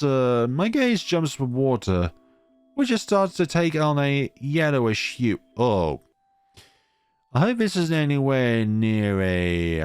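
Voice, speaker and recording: A man's voice: on the low side, clear, resonant tone, dry recording. A man reading a visual novel aloud as a let's-play narrator, expressively, with asides of commentary.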